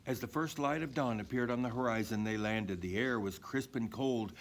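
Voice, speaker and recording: A man reading a children's story aloud in an expressive narrating style. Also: medium pitch, moderate pace, slight background noise. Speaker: storybook reader.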